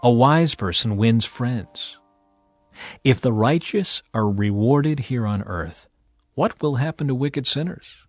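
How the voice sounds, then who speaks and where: A man narrating a dramatized audio Bible in a measured, emphatic way, with pauses between clauses. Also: medium pitch, animated. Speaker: scripture narrator. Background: background music.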